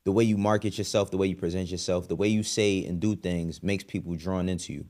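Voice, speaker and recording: A man with a fairly deep voice and a New York accent hosts a casual commentary. He talks emphatically in street slang, swears freely and keeps throwing out rhetorical questions as he argues his view. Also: steady tone, fast talker, darkish tone. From the Bronx, New York. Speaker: commentary show host.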